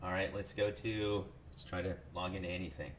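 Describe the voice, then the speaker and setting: A man with a fairly low voice walks viewers through a software demo step by step. He sounds casual and sold on the product, pitching it with enthusiasm. There is faint noise behind him. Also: flat delivery, fairly quick.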